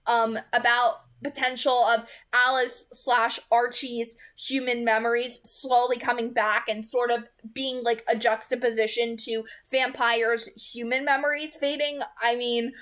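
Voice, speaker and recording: A young woman with a somewhat high-pitched voice reads and discusses a novel. She comes across as an amateur reader, moving between narration and casual commentary. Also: little pitch movement, a little slow, few pauses, fairly bright tone.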